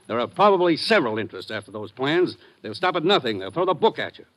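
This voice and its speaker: A man's voice: medium pitch, sing-song, fast, fairly bright tone, poor audio. An American man playing a serious, authoritative commissioner in 1950s radio drama. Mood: grave. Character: authoritative, serious male commissioner briefing his agent.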